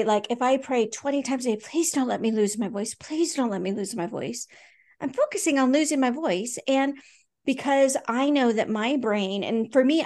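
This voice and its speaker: A woman co-hosting a casual faith podcast, her voice sitting a little high. She talks earnestly and likes to tell stories, circling back with fillers and restarts as she goes. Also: darkish tone. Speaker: podcast co-host.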